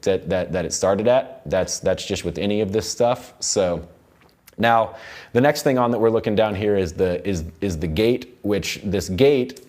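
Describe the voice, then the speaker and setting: A young man explaining music software in a casual, loosely worded tutorial style, repeating words as he goes. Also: lowish voice. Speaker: music production instructor. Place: recording studio control room.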